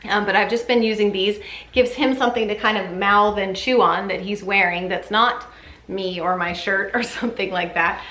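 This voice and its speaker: A woman's voice: mid-range voice, bright, forceful tone. A woman giving a casual, chatty vlog update as a mother, sometimes slipping into sing-song baby talk. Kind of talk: casual chat to camera. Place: indoor room at home with ceiling fan. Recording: roomy echo, faint background noise.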